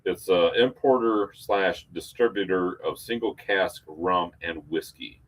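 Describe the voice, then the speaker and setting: A man's voice: sits low, measured pace, rough recording. A man co-hosting a casual live show, talking with enthusiasm. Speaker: co-host. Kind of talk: casual livestream chat.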